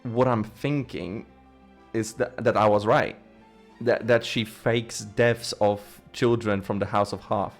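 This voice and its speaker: A man giving casual gameplay commentary as a streamer, rambling and theorizing excitedly, swearing now and then. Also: fairly low pitch, slow, soft background music. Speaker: game streamer.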